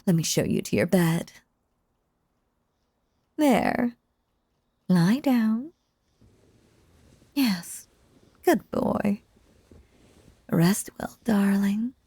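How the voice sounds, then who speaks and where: Breathy, sinister lady magician, played by a woman. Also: medium pitch, animated, warm tone, no room sound, heavily acted. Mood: tender, controlling. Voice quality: breathy, often dropping to a whisper. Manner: theatrical, doting, drawn-out hypnotic cooing. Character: sinister, possessive female stage magician, lovestruck and controlling. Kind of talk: roleplay audio drama, intimate second-person monologue.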